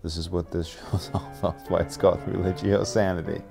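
A man's voice: deep voice, flat delivery, brisk, creaky, music in the background. A man hosting a show in a conversational interview style. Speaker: show host.

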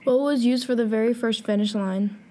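Woman interviewer, mellow voice, fairly high, soft-spoken. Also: steady tone, brisk. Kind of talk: reading prepared interview questions.

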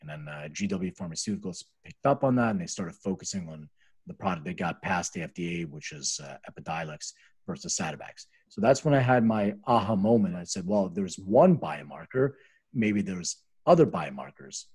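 American man, middle-aged, slightly low pitch, rough husky tone, informal rambling explainer, podcast guest. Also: lively intonation, normal speed, darkish tone. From Philadelphia, US, born in Lithuania. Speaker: interview guest, medicinal cannabis company founder. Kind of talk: conversational podcast storytelling.

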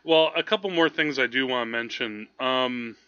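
A man co-hosting a podcast, chatting casually about films in an animated, digressive way. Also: mid-range voice, moderate pace, clear, resonant tone, dry recording, lo-fi sound. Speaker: podcast co-host. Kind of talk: casual film chat.

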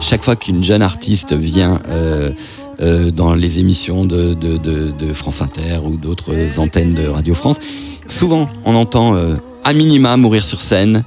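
A man with a lowish voice speaks in an interview, pausing often and restarting his phrases. Faint music plays quietly underneath him. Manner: hesitant, many fillers and restarts. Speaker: festival programmer. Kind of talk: interview soundbite.

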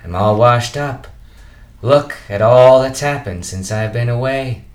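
An actor playing a burnt-out elderly male children's TV host in a comedy radio play, speaking softly and slowly. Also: medium pitch, echoey room, close to an everyday voice. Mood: weary. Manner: soft, slow and gentle, turning bitter.

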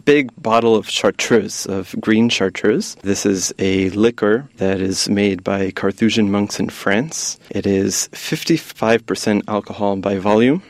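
A young man from the United States speaks in a low, friendly voice. He explains things at an easy pace and laughs often, recorded dry and close to the mic. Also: unhurried, creaky, few pauses, no echo. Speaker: guest, graduate student. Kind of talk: casual podcast chat.

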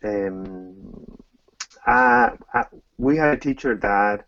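A man's voice: fairly low pitch, takes it slowly, no echo, muffled recording. A middle-aged man from Argentina, a tango teacher answering interview questions hesitantly, with many fillers and restarts.

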